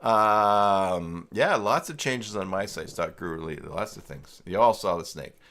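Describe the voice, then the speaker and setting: A man hosting a casual live software tutorial, talking informally and haltingly as he thinks aloud while chatting with viewers. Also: deep voice, lively intonation. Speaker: livestream host demonstrating website tools.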